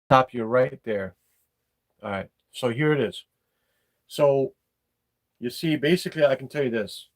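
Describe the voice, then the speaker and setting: A middle-aged man, talking casually and bluntly about his opinions, halting and repeating his words. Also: medium pitch. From former Yugoslavia. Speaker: podcast host.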